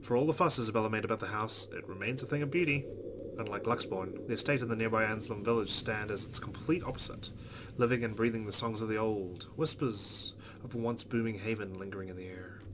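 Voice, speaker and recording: Lowish-voiced man reading a game aloud. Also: fast, background noise. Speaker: let's play host. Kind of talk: reading visual novel narration aloud, casual asides.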